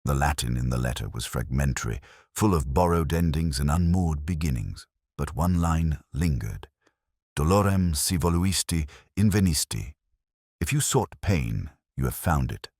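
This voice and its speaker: Brooding older detective, male actor, first-person mystery narration; bottom-register husky voice, literary and melancholy, recorded close and dry. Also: extremely low pitch, animated, normal speed, a little mellow, no room sound, full character voice. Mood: brooding, reflective. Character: brooding, world-weary older male English detective, narrating his case.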